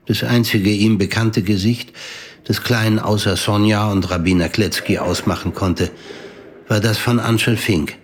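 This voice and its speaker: A man's voice: fairly low pitch, fairly even intonation, measured pace. A man reading scripted literary narration for an audio drama in a sober, composed way.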